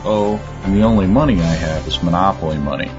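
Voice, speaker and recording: A man playing a pathetic middle-aged loser in a parody advert testimonial, earnest and deadpan. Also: sits low, fairly quick, highs cut off, over music, lightly acted. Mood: self-pitying.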